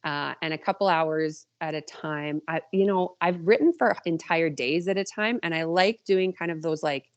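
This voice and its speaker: Lower-voiced woman chatting casually. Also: normal speed, hardly any pauses, lo-fi sound. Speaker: podcast guest, indie author. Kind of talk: casual chat interview.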